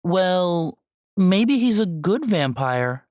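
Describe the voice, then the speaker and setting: A gentle, tentative young female student voiced by a woman in an audio drama. Her voice sits low for a woman, and she speaks in a conciliatory, hesitant way. The recording is dry and close. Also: sing-song, drawn-out words, dry recording, heavily acted. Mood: hopeful, tentative.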